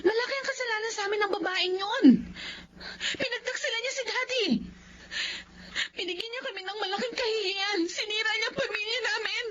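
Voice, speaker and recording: An actress playing a proud, emotional grown daughter with a Filipino accent, melodramatic in a radio drama. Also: female voice, extremely high pitch, fairly quick, sharp tone. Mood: bitter, hurt. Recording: muffled audio with background music.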